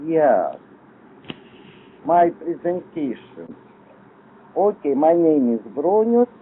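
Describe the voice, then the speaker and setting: A man's voice: on the high side, huge pitch swings, slow, a little hiss or hum. A man in his fifties with a Lithuanian accent, introducing himself as a learner in hesitant, broken English, searching for words. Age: 50s. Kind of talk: halting self-introduction presentation. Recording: tinny, phone-like internet call audio.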